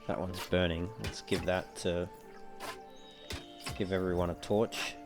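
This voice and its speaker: A man giving low-key, casual gameplay commentary, musing aloud as he plays. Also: level tone, unhurried, over music. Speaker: let's play host.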